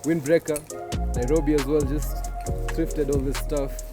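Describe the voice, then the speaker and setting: A young man with a Kenyan accent hosting his own show as a DJ, talking in energetic, slangy hype. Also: on the high side, a little slow. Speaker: DJ and show host. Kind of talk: hype vlog presenting. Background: music playing.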